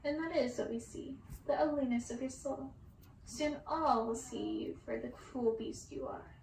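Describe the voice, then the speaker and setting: A woman's voice: high-pitched, measured pace, gravelly, darkish tone, roomy sound, recorded from a distance, slight background noise, poor audio. A woman voicing cruel, accusing witch sisters who take gleeful menace in a storybook tale.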